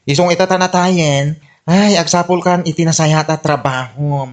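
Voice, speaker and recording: Woman acting a low-voiced, blunt, nagging friend. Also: fairly even intonation, moderate pace, natural voice. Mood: scolding, teasing. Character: blunt, scolding, practical female friend and confidante. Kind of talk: comedy radio drama acting.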